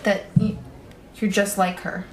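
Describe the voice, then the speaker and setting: A young woman playing an earnest college student in an audio drama, talking casually in a halting, questioning way. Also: medium pitch, little pitch movement, close to an everyday voice. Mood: stunned. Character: earnest, hesitant young college student, thief's incredulous friend. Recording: roomy echo, faint background noise.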